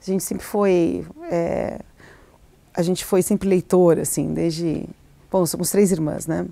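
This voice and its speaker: A middle-aged woman from Argentina talks through her memories in an interview, pausing, restarting and often laughing lightly. Her voice is mellow and soft. The sound is close and dry, with no room echo. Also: fairly low pitch, little pitch movement, gravelly. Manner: hesitant, many restarts and fillers, laughs often. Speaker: novelist, interview guest. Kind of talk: reflective interview answers.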